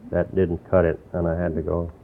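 An older man from New York with a very low, gravelly voice tells long, wandering stories, circling back and restarting his sentences. The recording is thin and tinny like an old phone line, with a faint hiss underneath. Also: completely flat delivery, fairly quick. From New York, USA. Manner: rambling, reflective storytelling with restarts. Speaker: interviewee. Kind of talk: oral history interview.

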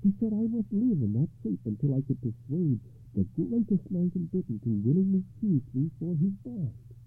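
A man doing a calm, wise enchanted lady. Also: fairly high pitch, husky, voice pushed up, full character voice. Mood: gentle, poised. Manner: slow, raised and deliberate. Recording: dry phone-like muffled sound.

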